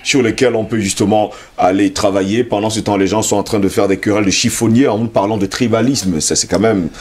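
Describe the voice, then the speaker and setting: A man's voice: lowish voice, fairly even intonation, fairly quick, slightly rough. A man hosting a televised debate, who speaks emphatically and cuts in to press his point. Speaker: talk show host.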